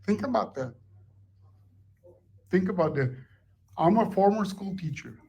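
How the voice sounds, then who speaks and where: A man's voice: high voice, lively intonation, a little slow, vocal fry, a little mellow. A man, a former school teacher and parent, arguing his point insistently and repeating himself for emphasis. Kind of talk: heated confrontation.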